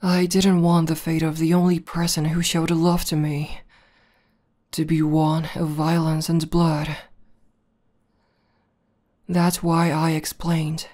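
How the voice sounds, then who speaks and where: A woman performs a battle-hardened tomboy demoness in an intimate ASMR roleplay. Her voice is lowish and dark, with very little rise and fall. She speaks softly and breathily, halting often, like a devoted lover being earnest. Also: flat delivery. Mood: vulnerable, earnest. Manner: soft, breathy, halting and earnest delivery, often dropping to whispers.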